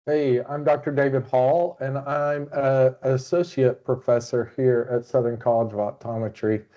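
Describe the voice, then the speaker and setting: A man's voice: mid-range voice, level tone, normal speed, mellow. A middle-aged man from Oklahoma and Wyoming, answering conversationally as a professor on a webinar panel. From Oklahoma and Wyoming, United States. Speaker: associate professor of optometry, webinar guest. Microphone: online video call. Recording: dull, lo-fi online call.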